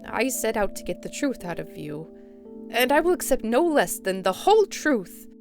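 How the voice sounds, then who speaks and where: Audio drama role: grandiose younger skeleton brother, teasing and formal, lifted pitch, female performer. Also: big pitch swings, moderate pace, gravelly, soft background music. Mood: firm.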